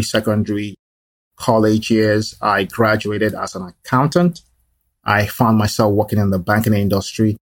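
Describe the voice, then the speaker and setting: A middle-aged man with a fairly low voice talks his story through at an easy pace, leaning on "you know" as he goes. The sound is close and dry, with no room around it. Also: no echo. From Nigeria. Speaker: guest, small business owner. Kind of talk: conversational life story.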